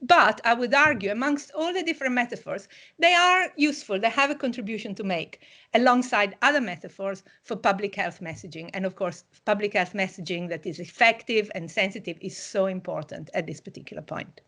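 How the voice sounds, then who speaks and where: A woman whose mother tongue is Italian delivers a university public lecture online. Her voice sits in an ordinary middle pitch, and she explains things in a measured way, pausing now and then on fillers. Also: animated, fairly bright tone.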